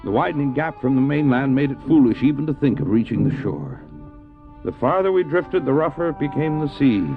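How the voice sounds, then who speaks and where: A man's voice: mid-range voice, creaky, phone-quality sound. A man acting a stranded, devout doctor and dog sledder in an audio drama, earnest and theatrical, anguished but resolute, on an old cassette recording. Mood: grave, tense. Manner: earnest, theatrical, halting when speaking in character. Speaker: narrator and lead actor. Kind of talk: dramatized first-person adventure narration, audio drama.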